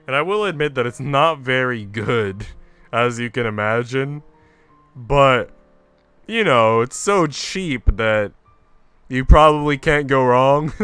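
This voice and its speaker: A man's voice: mid-range voice, a bit bright, clean tone. A man chatting informally and casually as he livestreams a game. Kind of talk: casual gaming stream chat and reading a visual novel aloud.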